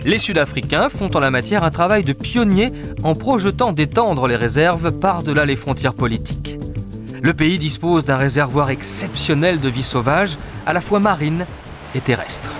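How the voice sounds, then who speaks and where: Lively, higher-pitched male documentary narrator. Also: moderate pace. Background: music.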